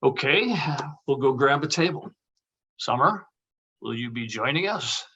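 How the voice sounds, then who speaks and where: A man's voice: medium pitch, lively intonation, a little slow, pushed and bright, slightly rough, dull audio, heavily acted. An actor at a table read playing a polite, cautious male film actor with a hesitant, courteous manner.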